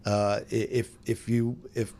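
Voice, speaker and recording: A man's voice: on the low side, flat delivery, unhurried, dark tone. An American man, a sports radio announcer, giving casual analysis on a roundtable discussion.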